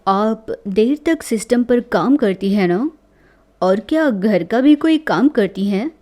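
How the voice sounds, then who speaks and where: A woman voicing a sly young friend who poses as a doctor, measured and matter-of-fact, in a family comedy story. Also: fairly high pitch, animated, darkish tone. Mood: serious, warning.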